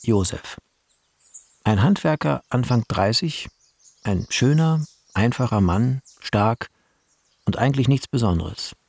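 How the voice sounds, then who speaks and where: A man reading screenplay directions in a measured, deliberate way as radio drama narration, with a husky, gravelly voice. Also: mid-range voice, expressive, a little mellow, dry recording, heavily acted. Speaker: reader of film credits and screenplay directions.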